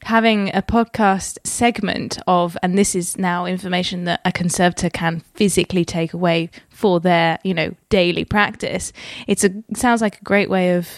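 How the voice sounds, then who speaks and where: A woman co-hosting a podcast, talking in a musing, hesitant way with soft laughs. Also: lowish voice, steady tone, normal speed, no room sound, clean studio sound. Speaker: podcast co-host. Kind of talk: conversational podcast talk.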